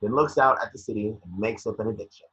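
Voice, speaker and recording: A man reading scene descriptions at an amateur table read, with a husky voice. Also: on the low side, fast talker, fairly bright tone, dull audio.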